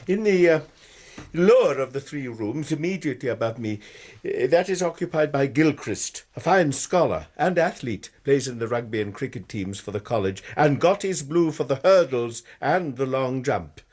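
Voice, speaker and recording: Actor as animated, anxious English university tutor. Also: male voice, mid-range voice, normal speed, close to an everyday voice. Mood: earnest. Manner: hesitant, donnish, precise. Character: anxious, courteous male university tutor, fussy and hesitant. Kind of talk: radio drama acting.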